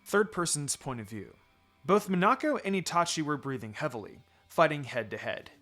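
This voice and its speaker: Male story reader, dramatic and theatrical, highly varied intonation, faint music bed. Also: sing-song, moderate pace, sharp tone. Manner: theatrical, acts out dialogue. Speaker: fan fiction reader. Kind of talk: dramatic scripted narration of a story. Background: background music.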